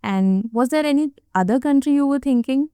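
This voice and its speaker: Encouraging woman host with a clear voice. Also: high voice, a little fast, mellow, dry recording, clean studio sound. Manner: encouraging, affirming. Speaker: podcast host. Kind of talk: hosting an interview.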